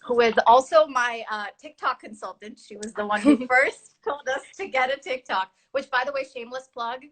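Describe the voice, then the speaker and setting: A woman's voice: high-pitched, moderate pace, low-quality recording. A young adult woman hosting a casual online live chat as a dance teacher, chatty and full of fillers, laughing at herself now and then.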